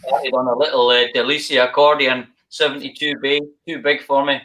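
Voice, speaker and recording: A man's voice: medium pitch, level tone, fairly quick, sharp tone. A Scottish man, a guest musician, telling his story in a rambling, hesitant way with plenty of ums and restarts. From Scotland. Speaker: guest accordion player. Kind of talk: casual interview storytelling.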